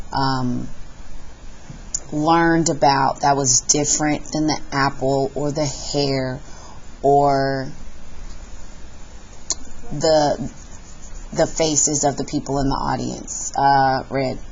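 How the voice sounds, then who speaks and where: Patient female teacher quizzing a class; low, slow, lo-fi room sound. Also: darkish tone. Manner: slow, patient questioning of students. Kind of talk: classroom discussion. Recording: muffled classroom recording with faint hiss.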